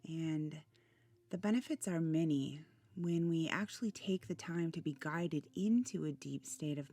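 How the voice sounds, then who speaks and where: A woman leading a guided relaxation meditation in a soothing, gentle manner, with soft, breathy phrase endings. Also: low voice, no echo. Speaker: meditation guide, channel host.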